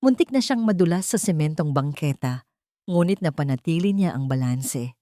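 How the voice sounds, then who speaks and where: A woman reading a romantic drama story as narrator, in a dramatic, expressive scripted style. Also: deep voice, normal speed, no room sound, studio quality.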